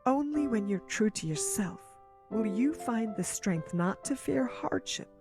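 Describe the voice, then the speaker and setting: A woman's voice: medium pitch, animated, over music. Tender old grandmother, lilting speech. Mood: earnest, tender. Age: older. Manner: gentle, affectionate, measured. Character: tender, wise elderly grandmother teaching her granddaughter. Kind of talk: game voice acting.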